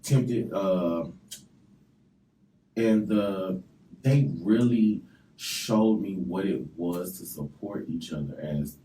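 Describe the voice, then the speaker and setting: A man's voice: deep voice, takes it slowly, long pauses, warm tone, recorded from a distance, a little hiss or hum. Earnest man speaking off mic in echoey studio. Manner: earnest, emphatic, repeats words. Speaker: guest rapper and actor. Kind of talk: radio interview. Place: radio studio.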